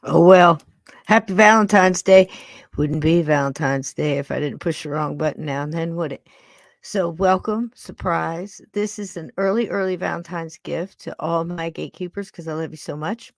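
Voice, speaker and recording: A woman in her sixties with a fairly low voice hosts a podcast. She chats in a folksy, affectionate ramble, recorded close and dry. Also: normal speed, creaky, dry recording. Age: 60s. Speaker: podcast host. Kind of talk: casual chat to live listeners.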